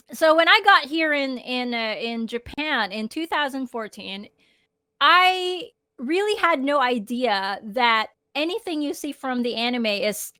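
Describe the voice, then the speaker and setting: A woman's voice: high voice, bright, forceful tone, dry recording, studio quality. A woman from the Philippines co-hosting a live podcast, chatty and giggly. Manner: chatty, giggly, does silly sound effects. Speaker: podcast co-host. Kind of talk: casual live podcast chat.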